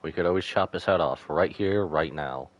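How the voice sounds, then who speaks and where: A man's voice: deep voice, moderate pace, highs cut off. A man giving casual live reactions as a game streamer, slangy and irreverent, talking back to the game.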